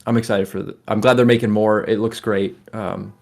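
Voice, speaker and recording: A man with a fairly low voice co-hosts a podcast. He talks casually and informally, giving measured opinions on entertainment as part of a group chat. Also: level tone, vocal fry, a little mellow. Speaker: podcast co-host.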